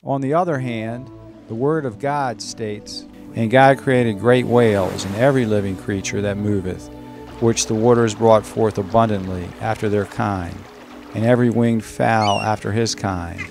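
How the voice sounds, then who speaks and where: A man's voice: fairly low pitch, fairly even intonation, a little slow, a little mellow, faint music behind. A man giving solemn scripted narration and scripture readings in an emphatic, declamatory manner.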